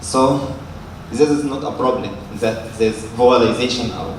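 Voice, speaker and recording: Egyptian guy, arabic native, asking from the floor, stumbles and repeats himself, far from mic in a super echoey room. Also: mid-range voice. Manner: very halting, repeats words, seeks confirmation with okay and yes. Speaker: audience member asking a question. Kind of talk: unscripted question and argument from the floor. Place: large echoey lecture room. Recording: very echoey room, distant mic, faint noise.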